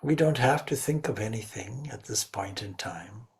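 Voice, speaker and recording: A man leading a guided meditation as a spiritual teacher, speaking reverently in short, measured phrases. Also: lowish voice, lively intonation, creaky.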